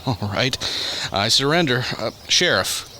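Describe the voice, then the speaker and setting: A man's voice: medium pitch, expressive, a little slow, sharp tone. A man acting in a radio drama as a genial, self-effacing astrophysicist with a polite, affable manner. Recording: noisy radio play recording.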